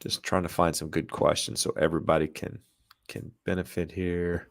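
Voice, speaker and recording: A very low-pitched man sounding stuffed-up and nasal, talking loosely through a live Q&A. He hesitates, restarts and fills gaps with "um" as he picks viewer questions. The sound is dry and close. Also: flat delivery, moderate pace, creaky, dry recording. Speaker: real estate livestream host. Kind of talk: casual live Q&A.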